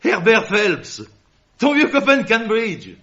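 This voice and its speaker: A jovial bearded fur trader in a vintage radio play, boisterous and chummy, his pitch swinging widely. The recording is dull and lo-fi. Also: big pitch swings, slow, fairly bright tone, full character voice. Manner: boisterous, chummy, laughs often. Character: jovial bearded male fur trader, old college friend. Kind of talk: 1950s French radio drama acting.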